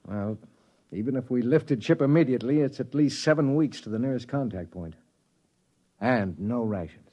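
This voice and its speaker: A man's voice: medium pitch, normal speed, warm tone, natural voice. An actor playing a wry spaceship crewman who narrates a grim expedition in a dry 1950s radio drama style. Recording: dull old radio recording with music.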